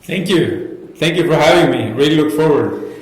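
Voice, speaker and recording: A man's voice: a little high, expressive, moderate pace. Echoey-room man from Norway, enthusiastic interviewee. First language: Norwegian. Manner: enthusiastic wording, frequent you-knows. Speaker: interview guest, startup founder. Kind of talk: conversational career storytelling. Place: indoor co-working office. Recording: roomy, echoey indoor sound.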